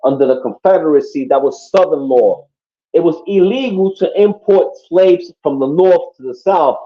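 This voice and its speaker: A man's voice: fairly high pitch, moderate pace. Profane, emphatic man, muffled lo-fi sound. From Puerto Rico. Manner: profane, emphatic, keeps asking "you know what I mean". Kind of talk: casual podcast talk. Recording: muffled lo-fi audio.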